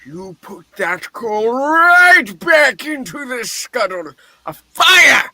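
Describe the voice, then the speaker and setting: A man's voice: extremely high pitch, sing-song, slow pace, pushed and bright, dry recording, exaggerated voice. A man playing a grumpy, miserly old boss in a radio-style play reading, irritable and scornful as he barks out his words. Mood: scolding, scornful.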